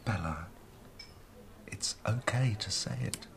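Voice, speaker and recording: A man's voice: lowish voice, slow, creaky, fairly bright tone, in a whisper, heavily acted. A wry middle-aged man. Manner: wry, sardonic, teasing. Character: wry, jealous middle-aged man, self-mocking friend. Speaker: friend at lunch. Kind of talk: scripted radio drama dialogue.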